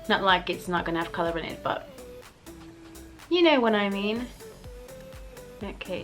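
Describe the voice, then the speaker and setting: A young woman with a slightly croaky voice after the flu, chatting casually through a makeup tutorial and often correcting herself. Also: mid-range voice. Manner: chatty, self-correcting, giggly asides. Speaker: beauty vlogger.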